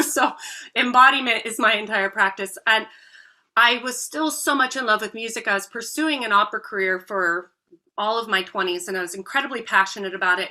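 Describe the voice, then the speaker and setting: Woman voice coach, 30s, bright tone; sincere guest on a podcast interview. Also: medium pitch. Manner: earnest, heartfelt storytelling.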